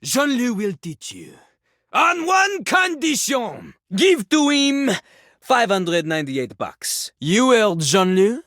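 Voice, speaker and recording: A flamboyant French diva of a rapping toilet from a video game. The voice is high and swoops dramatically, with slow, pompous, self-important phrasing in a French accent. It is close and dry, every phrase played as grand theatre. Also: male voice, sing-song, a bit bright, no room sound, full character voice. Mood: haughty, grudging. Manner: pompous, melodramatic, sweeping delivery. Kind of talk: theatrical video game voice acting, third-person speech.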